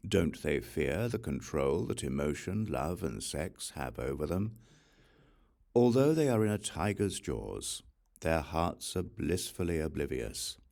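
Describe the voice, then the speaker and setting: A man reading scripture aloud as an audiobook narrator, in a measured, solemn recitation. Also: very deep voice, sing-song, vocal fry, darkish tone. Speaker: audiobook reader.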